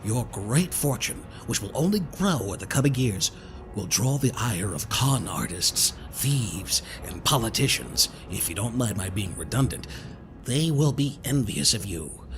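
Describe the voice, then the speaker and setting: A man playing a smooth-talking, mock-polite con man villain in an audio drama, wordy and falsely kindly. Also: on the low side, big pitch swings, measured pace, music in the background. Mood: grandly persuasive. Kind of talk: scripted audio drama acting.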